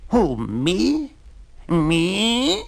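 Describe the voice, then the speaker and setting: A man's voice: high voice, huge pitch swings, very slow, vocal fry, voice pushed up, full character voice. A man doing a wailing old tomcat. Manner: wailing, meowing. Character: weepy old tomcat, past his prime.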